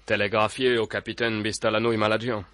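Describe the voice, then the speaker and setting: A man's voice: on the low side, flat delivery, fast, a bit bright, no room sound, lightly acted. An actor playing a nervous, whiny henchman in a radio comedy. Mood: fretful. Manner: squawking, fretful questions.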